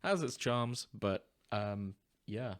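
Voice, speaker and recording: A man's voice: on the low side, animated, takes it slowly. A man co-hosting a podcast in casual, tongue-in-cheek chat, recorded in a living room on a podcast microphone. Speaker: podcast co-host.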